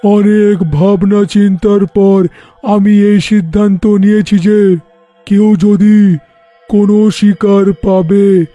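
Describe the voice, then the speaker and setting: A man's voice: sits high, mellow, no echo. A man voicing the commanding chief of ghosts and witches in a horror cartoon, pronouncing rulings with authority.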